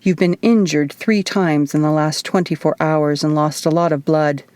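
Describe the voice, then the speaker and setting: A woman's voice: low-pitched, fairly even intonation, normal speed, a little mellow, dry recording, natural voice. Woman voicing a stern, terse man in charge. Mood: serious.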